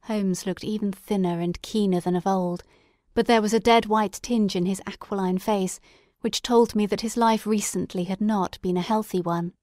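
A woman playing an excitable, devoted gentleman storyteller in a dramatic audiobook, with an expressive, earnest and formal delivery. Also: medium pitch, normal speed, no room sound, studio quality, natural voice. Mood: earnest narration.

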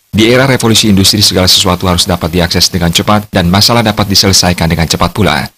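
A man's voice: deep voice, a bit bright, breathy edge. A man reading scripted narration as a television news reporter.

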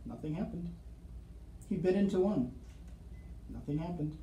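A middle-aged man reading a children's picture book aloud expressively, with suspenseful pauses and asides to young listeners. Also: fairly high pitch, animated, warm tone. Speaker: school storyteller reading to children. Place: small office, seated at desk. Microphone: camera across the desk. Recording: roomy office sound, faint background noise.

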